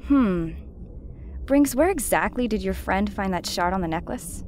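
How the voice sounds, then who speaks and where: A woman's voice: mid-range voice, expressive, moderate pace, a little mellow, a little hiss or hum, close to an everyday voice. A woman playing a blunt, sarcastic, squeamish member of an exploration crew in an audio drama. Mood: curious. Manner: sardonic, blunt.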